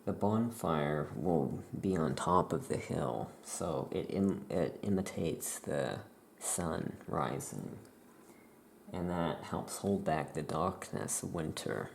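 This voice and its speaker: A soft-spoken ASMR performer playing a laid-back witch shopkeeper, murmuring in an unhurried way while writing. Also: low-pitched, lively intonation, vocal fry. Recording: roomy echo, faint background noise.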